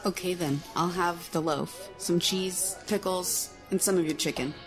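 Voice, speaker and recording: A man voicing a young, compassionate but firm and bossy prince with formal, measured phrasing in an audiobook story. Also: sits high, fairly even intonation, over music, voice pushed up, poor audio. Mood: polite. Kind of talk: audiobook character dialogue.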